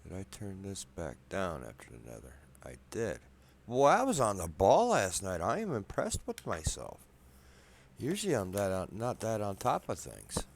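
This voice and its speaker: A man quietly rambling through casual gameplay commentary in his apartment at night, a bit wheezy from a cold, soft-spoken and self-deprecating. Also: deep voice, wildly swooping pitch, normal speed, gravelly, a little hiss or hum. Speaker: gameplay video maker. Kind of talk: casual let's play commentary.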